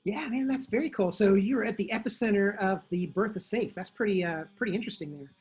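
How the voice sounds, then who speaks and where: A man hosting a podcast interview in a relaxed, casual way. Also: high voice, fast talker, husky. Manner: laid-back, uses "man" and "very cool". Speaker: podcast host.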